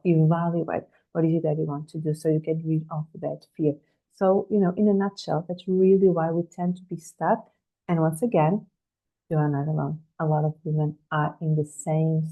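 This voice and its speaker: A woman coaching a career workshop over a video call, her voice low and roughened by a cold. She speaks warmly and earnestly, putting question after question to her listeners. The tone is dark and gravelly throughout. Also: poor audio. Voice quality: husky, gravelly voice. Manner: earnest, rhetorical questions to the audience. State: has a cold, slight cough. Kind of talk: live online workshop talk.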